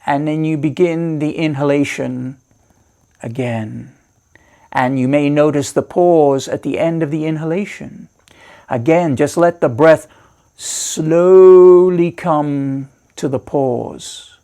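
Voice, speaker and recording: A man leading a guided meditation as a teacher, giving measured, reassuring instructions with deliberate pauses. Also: on the high side, gravelly, warm tone.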